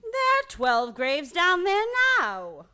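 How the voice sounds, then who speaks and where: A woman's voice: high-pitched, huge pitch swings, slow pace, sharp tone, heavily acted. A woman playing a prim, genteel, batty old maiden aunt in a live old-time radio play. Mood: indignant. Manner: prim, matter-of-fact about murder.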